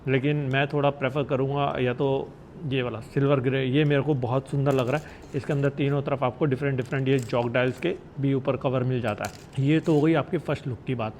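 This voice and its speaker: Higher-voiced man reviewing gear, some background noise. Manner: conversational, mixes English words into speech. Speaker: motorcycle vlogger reviewing gear. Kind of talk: casual product review to camera.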